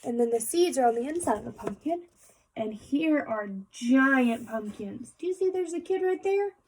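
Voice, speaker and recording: A woman's voice: high-pitched, animated, normal speed, darkish tone, low-quality recording. A woman reading a children's picture book aloud like a teacher, adding explanatory asides and speaking directly to young listeners.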